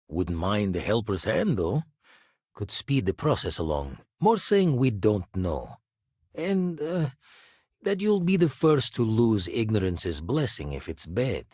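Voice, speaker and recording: A man playing a gruff, seasoned space explorer and ex-pirate in a video game, with a husky, rough-edged voice and wry, colorful, slangy phrasing. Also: lowish voice, darkish tone, no room sound, studio quality, heavily acted. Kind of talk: scripted game character dialogue.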